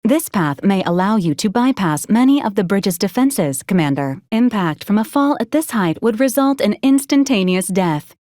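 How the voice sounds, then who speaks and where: A woman's voice: mid-range voice, animated, normal speed, hardly any pauses, darkish tone, dry recording, clean studio sound, lightly acted. A woman voicing a polite female robot companion in a video game, speaking in a formal, clipped, matter-of-fact and clinically detached way. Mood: cool, advisory. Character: polite, deadpan female robot companion unit assisting a commander. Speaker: robotic assistant. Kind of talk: scripted video game character lines.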